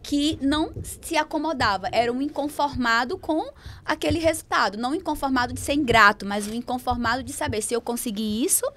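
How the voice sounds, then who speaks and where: A woman's voice: high-pitched, a little fast, fairly bright tone, a little hiss or hum. A woman hosting an interview, affirming her guest and echoing his words back to him. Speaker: interview host. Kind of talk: interview conversation.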